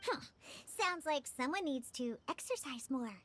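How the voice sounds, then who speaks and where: Spirited, friendly young heroine for a game: very high, lively, casual and expressive. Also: female voice, unhurried, heavy background noise. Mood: teasing. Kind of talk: animated video game voice acting.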